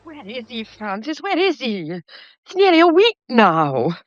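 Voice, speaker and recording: An actress playing an overwrought, nervy English wife in a radio mystery drama, frantic and halting. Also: female voice, fairly high pitch, huge pitch swings, no room sound. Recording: dull, muffled lo-fi audio.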